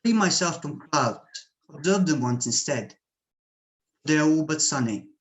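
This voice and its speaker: A man from Bosnia whose first language is Serbian, reading his poetry slowly and deliberately at an online open mic over a home computer microphone. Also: a little high, expressive, a bit bright. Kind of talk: slow measured poetry reading.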